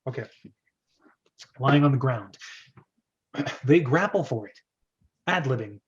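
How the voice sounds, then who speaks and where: A man's voice: medium pitch, expressive, slow. A man hosting an informal script read-aloud, reading stage directions theatrically and adding casual, jokey asides. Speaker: host and script reader. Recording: dull lo-fi audio.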